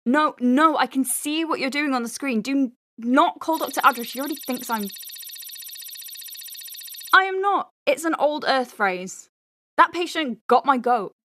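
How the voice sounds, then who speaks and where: A woman's voice: sits high, expressive, normal speed, sharp tone, slight background noise. A woman playing an exasperated, wry doctor at a space clinic in an audio drama, with a sardonic, conversational delivery. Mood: exasperated, indignant. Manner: sardonic, conversational, hesitant asides. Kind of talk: audio drama monologue, dictating a letter. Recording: music behind the voice. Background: music.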